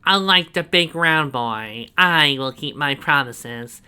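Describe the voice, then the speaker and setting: A sneering, scheming villain, as a man puts him on for a fan-read story, his voice pushed high and tight with gloating. He stretches his words out slowly and savors every threat. The tone is bright and sharp. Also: animated, clean tone, full character voice.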